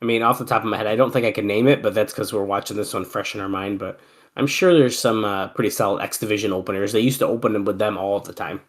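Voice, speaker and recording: A man's voice: fairly low pitch, fast. A man who is sick with a cold, co-hosting a podcast and casually calling the moves of an old wrestling match while bantering with his co-host. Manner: relaxed play-by-play mixed with banter. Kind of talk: casual wrestling commentary chat.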